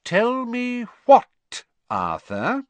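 A man's voice: high voice, big pitch swings, slow, fairly bright tone, no echo, voice pushed up, full character voice. A man voicing a stern middle-aged mother in a fantasy audiobook, sharply questioning with suspicion. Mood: suspicious.

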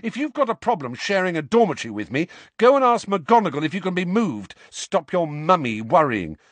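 A man's voice: on the low side, big pitch swings, fairly quick, no echo. A man voicing an angry teenage boy who snaps back defensively. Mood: furious. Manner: snapping, shouting back.

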